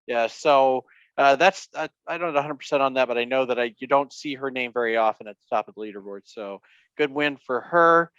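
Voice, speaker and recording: Male podcast co-host, mid-pitch, hesitant, a dull and dry lo-fi recording. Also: a little fast, fairly bright tone, clean tone, no echo. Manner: hesitant, many fillers. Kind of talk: casual sports chat.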